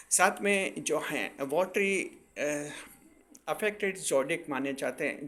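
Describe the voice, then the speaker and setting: Higher-pitched man explaining astrology advice. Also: a bit bright. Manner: didactic, repeats and rephrases points for emphasis. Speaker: astrology presenter.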